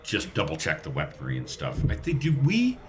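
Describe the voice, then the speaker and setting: A man's voice: low voice, wildly swooping pitch, a little fast. A man casually chatting and improvising description as a player in a tabletop game.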